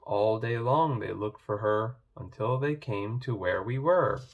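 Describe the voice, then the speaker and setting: A man reading rhyming children's stories aloud in a measured, expressive way. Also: lowish voice, highs cut off. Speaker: storybook reader.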